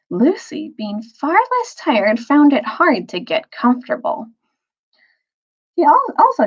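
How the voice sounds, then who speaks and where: Expressive woman storyteller with a husky voice. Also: on the high side, lo-fi sound. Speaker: storyteller, teacher reading aloud. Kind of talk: expressive children's novel read-aloud.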